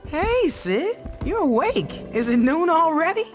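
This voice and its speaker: A woman voicing an easygoing, sweet female mammoth in an animated game, speaking in a kindly, slightly puzzled manner. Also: very high voice, a little slow, soft background music, exaggerated voice. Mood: casual. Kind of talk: animated game cutscene acting.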